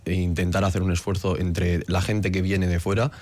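A man from the Basque Country talks as an interview guest. His voice is very deep and stays flat, with little rise or fall. He speaks in a measured way and pauses often to hesitate. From Basque Country, Spain. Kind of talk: interview conversation.

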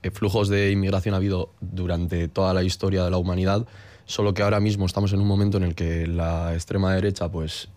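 A man from the Basque Country, speaking earnestly as a guest in a radio interview, with halting fillers. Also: deep voice, darkish tone, no echo.

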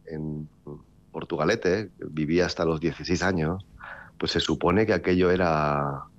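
A man, a novelist from the Basque Country, talking in a relaxed interview with a wry, rambling manner, full of restarts and fillers. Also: extremely low pitch, moderate pace, lo-fi sound. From Basque Country, Spain. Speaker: author.

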